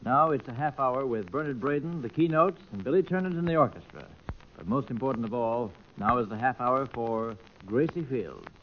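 A man's voice: medium pitch, expressive, moderate pace. A man announcing a mid-century radio variety show with scripted banter, heard on an old radio recording. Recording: dull, noisy vintage radio recording.

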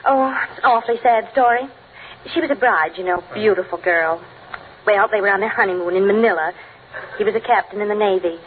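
A narrow, faintly hissy old broadcast of an actress playing an American wife in a radio comedy. She is middle-aged, affectionate and gossipy, with a medium-pitched voice. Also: a little fast, close to an everyday voice. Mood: gossipy, hushed concern. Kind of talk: 1940s radio comedy acting.

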